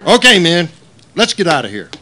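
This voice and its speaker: A man's voice: sits high, very animated, moderate pace, pushed and bright, a faint crowd, slight background noise, full character voice. A man playing a hapless, officious police captain in a comic old-time radio play. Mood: sheepish.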